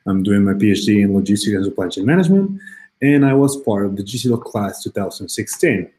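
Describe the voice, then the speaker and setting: A man from Ecuador on a video call, with a low, mellow voice. He speaks plainly and a little haltingly as he introduces himself and passes the word. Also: animated. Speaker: PhD student and teaching assistant.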